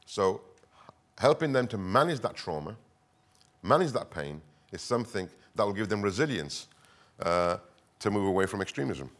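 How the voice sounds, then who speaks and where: A middle-aged man from the north of England, speaking earnestly at a conference in short, deliberate phrases with emphatic repetition. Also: lowish voice, very animated, a bit bright. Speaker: conference speaker, counter-extremism expert. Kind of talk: conference presentation, semi-improvised public speaking.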